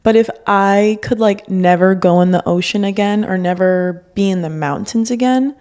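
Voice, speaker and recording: A woman's voice: medium pitch, moderate pace, darkish tone. A young woman whose first language is Spanish, co-hosting a podcast in informal, candid conversation. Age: young adult. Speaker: podcast co-host. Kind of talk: casual podcast chat.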